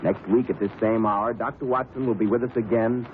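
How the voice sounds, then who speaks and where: A man's voice: mid-range voice, steady tone, brisk, phone-quality sound. A man hosting as a scripted 1930s radio announcer. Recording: noisy 1930s radio recording.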